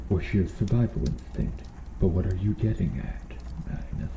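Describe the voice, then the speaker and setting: A man's voice: on the low side, warm tone, lightly acted. A man playing a brooding, remorseful and protective medieval knight in an ASMR roleplay, speaking formally and solemnly with archaic phrasing. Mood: grave, questioning. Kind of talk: ASMR roleplay, slow dramatic acting addressed to the listener. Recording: roomy echo, faint background noise.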